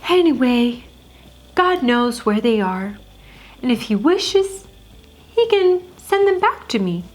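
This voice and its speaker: A woman's voice: on the high side, big pitch swings, slow, darkish tone, full character voice, rough recording. A woman voicing an anxious, earnest schoolboy in a bedtime story, plaintive and trusting. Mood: earnest, praying.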